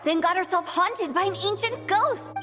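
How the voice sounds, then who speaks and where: A woman's voice: very high voice, normal speed, a bit bright, in a large hall, soft background music. A woman playing a young traveler heroine in a fantasy video game, delivering scripted dialogue. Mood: speculative.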